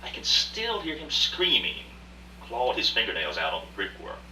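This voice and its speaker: A man acts out a vengeful, cultured gentleman in a radio play. His voice is very bright and forceful, with a lively, swooping range and theatrical formality. It is captured from a distance with hum and some noise. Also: lowish voice, normal speed, heavily acted. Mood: vindictive. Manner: theatrical, formal, swinging into outbursts. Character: bitter, vengeful, obsessive art-collecting gentleman, theatrical storyteller. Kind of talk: live radio drama acting. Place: indoor rehearsal of a live radio play. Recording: roomy, distant mic, hum and hiss.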